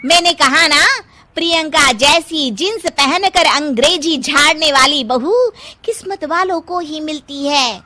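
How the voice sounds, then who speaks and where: A very shrill, bright middle-aged mother-in-law from a cartoon. She is boastful and bossy, and draws out her words slowly with exaggerated gushing and scolding. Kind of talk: Hindi cartoon voice acting.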